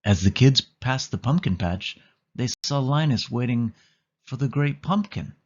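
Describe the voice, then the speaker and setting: A man's voice: mid-range voice, animated, measured pace, a little mellow, lo-fi sound. A man narrating a children's storybook as a scripted read-aloud.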